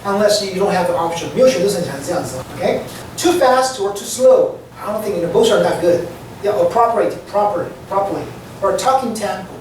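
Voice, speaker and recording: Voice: medium pitch, animated, moderate pace, darkish tone. An aviation instructor with a mother tongue, lecturing a class in loose, repetitive full of "okay" and "you know". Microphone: distant room mic. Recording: echoey classroom from far off, noisy.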